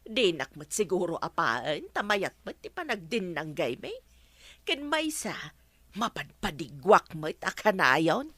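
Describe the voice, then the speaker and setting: An aggrieved village woman with an Ilocano accent, swooping up and down in pitch. Her voice is bright and emphatic, full of drawn-out exclamations, in the manner of a comedy radio drama. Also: big pitch swings, no room sound, full character voice. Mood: complaining.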